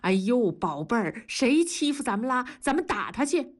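A woman's voice: fairly high pitch, sing-song, moderate pace, pitched above the performer's own voice. Middle-aged dad, placating but exasperated, voiced by a woman reading aloud; storybook voice. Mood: coaxing.

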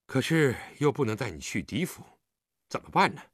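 一名男子在有声故事中扮演一个和善、护着孩子又不失坚定的成年男子，语气循循善诱。. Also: male voice, mid-range voice, very animated, moderate pace, fairly bright tone, husky, no room sound, studio quality. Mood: caring, worried. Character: kind, protective grown man, teasing yet firm.

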